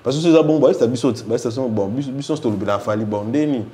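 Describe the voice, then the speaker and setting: Congolese male interview guest, soft dark tone, emphatic and repetitive, slight background noise. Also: mid-range voice, fairly quick. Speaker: interview guest, music fan spokesman. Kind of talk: casual interview, mixing two languages.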